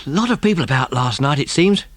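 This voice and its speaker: A man's voice: a little high, animated, a little fast, no echo, voice pushed up. A man voicing an eager, admiring, earnest British boy with a hesitant manner in a dramatised audiobook. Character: awed, admiring working-class young boy, simple and eager.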